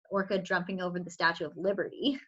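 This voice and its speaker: A woman storyteller reading a picture book aloud to young children in an expressive, explaining way, with questioning asides. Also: lowish voice, fast talker, breathy edge, dull audio.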